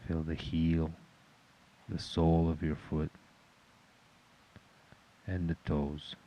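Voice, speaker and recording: A man leading a guided meditation in a calm, measured, instructional manner. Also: rumbling low, low-quality recording.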